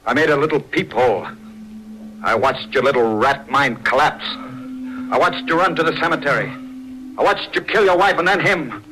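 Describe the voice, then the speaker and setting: A cold avenger in an old radio thriller. His voice is lowish and sharply forceful, slow and deliberate, gloating with contempt. There is faint noise on the vintage recording. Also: full character voice, poor audio. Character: cold, gloating avenger, brother of a murdered man. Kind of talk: 1940s radio drama acting.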